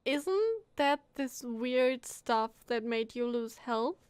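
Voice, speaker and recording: Casting: female game streamer, high voice, reactive and chatty, casual play-along commentary, dry close-mic sound. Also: lively intonation, slow pace, a little mellow, smooth, dry recording.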